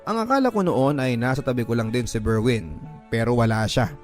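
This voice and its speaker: A man's voice: mid-range voice, animated, brisk. A man with a Filipino accent reads a dramatic first-person story in an earnest, sentimental radio-drama style. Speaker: radio drama narrator reading a listener's letter. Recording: music bed under the voice. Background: background music.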